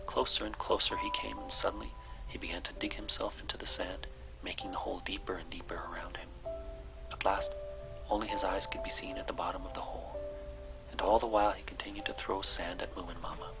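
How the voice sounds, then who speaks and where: A man reading a children's story aloud as soft-spoken bedtime narration, with music behind him. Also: deep voice, fairly even intonation, a little fast, creaky, in a whisper, rough recording. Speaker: storybook reader.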